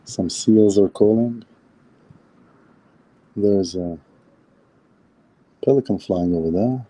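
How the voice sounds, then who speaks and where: A man with an Israeli Hebrew accent gives a casual spiritual talk in a low, husky, mellow voice. He is reflective, circles back over his phrases and often restarts mid-sentence. He records indoors at a seaside hotel. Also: slow, poor audio. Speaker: host of a weekly Torah talk.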